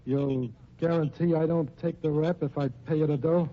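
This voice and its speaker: An actor playing a tough, surly gangster boss with blunt slangy talk in an old radio crime drama. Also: male voice, a little high, steady tone, normal speed, natural voice. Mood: grudging, suspicious. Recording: dull old radio recording.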